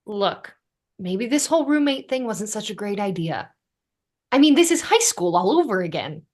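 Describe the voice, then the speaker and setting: A woman's voice: medium pitch, very animated, moderate pace. A woman reading the part of an exasperated, blunt young roommate in a sitcom script, with emphatic, sardonic delivery. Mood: frustrated. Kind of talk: sitcom script table read.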